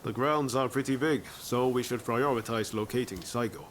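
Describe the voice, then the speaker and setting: Plain-spoken resolute warrior, medium pitch, acted by a man. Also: normal speed, a bit bright, slight background noise, lightly acted. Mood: practical, calm. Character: pragmatic, persuasive wandering warrior, direct and resolute. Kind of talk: video game cutscene acting.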